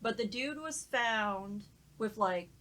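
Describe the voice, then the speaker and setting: A woman's voice: fairly high pitch, expressive, takes it slowly, sharp tone. A woman in casual podcast conversation, telling stories informally with some swearing. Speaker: podcast panelist. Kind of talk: casual chat, anecdote telling.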